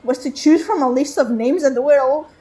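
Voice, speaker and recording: A woman streams a game and rambles through casual commentary, laughing often and restarting her sentences. Her voice sits fairly high. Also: moderate pace, dark tone.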